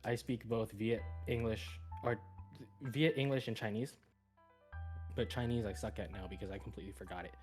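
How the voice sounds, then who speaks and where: A man's voice: on the low side, fairly even intonation, moderate pace, darkish tone, soft background music. Casual young man rambling through a story. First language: Vietnamese. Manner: rambling, many restarts and fillers, laughs at himself. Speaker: podcast guest, streamer. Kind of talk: casual life-story chat.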